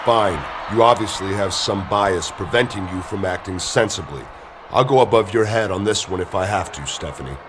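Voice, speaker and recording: A man's voice: deep voice, moderate pace, background noise, lightly acted. A man playing an arrogant, self-important and paranoid champion wrestler in a video game cutscene. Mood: agitated, demanding. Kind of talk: scripted game cutscene dialogue.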